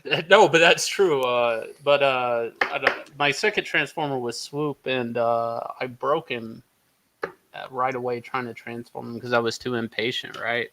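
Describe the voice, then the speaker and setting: A man chatting casually as a podcast guest, telling stories in an animated, repetitive way. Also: medium pitch, unhurried, slight background noise, poor audio. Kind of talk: casual chat.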